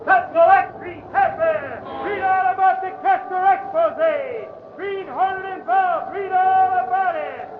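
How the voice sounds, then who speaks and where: Newsboy: piercingly high, slow drawn-out street cries, thin vintage radio sound. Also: male voice. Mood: shouting. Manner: drawn-out street-hawker shouting. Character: shrill newsboy hawking papers on the street. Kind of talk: 1940s radio drama acting. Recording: tinny old radio recording, crowd behind.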